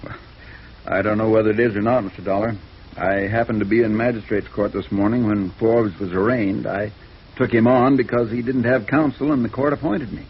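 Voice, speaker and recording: Weary, low-voiced small-time lawyer, acted by a man. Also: fairly even intonation, a little fast, natural voice. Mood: weary, welcoming. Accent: American. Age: 40s. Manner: rambling, folksy, hesitant. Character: tired, seedy, amiable small-time male lawyer around forty. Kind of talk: 1950s radio drama acting. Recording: muffled, noisy vintage radio recording.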